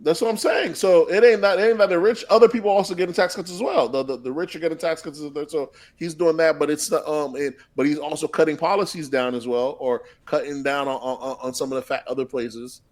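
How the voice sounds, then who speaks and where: A man's voice: fairly high pitch, fairly even intonation, fairly quick, hardly any pauses, darkish tone. A man co-hosting a casual podcast, rambling and restarting his sentences and often asking "you know what I'm saying". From Haitian heritage. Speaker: podcast co-host.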